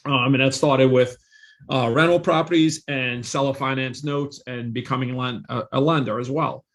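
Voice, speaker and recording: A man in his forties with a gravelly, fry-tinged voice, talking over a remote call. He tells his story with confident, emphatic repetition, like a salesman pitching the listener. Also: fairly even intonation, moderate pace. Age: 40s. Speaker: real estate investor and guest. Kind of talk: podcast interview storytelling.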